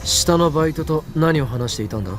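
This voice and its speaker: A man acts a brusque young mad-scientist type for an anime game. His voice sits a little high and moves quickly, blunt and theatrical, with music behind it. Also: lively intonation, natural voice. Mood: brusque, suspicious. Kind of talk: Japanese anime game voice acting.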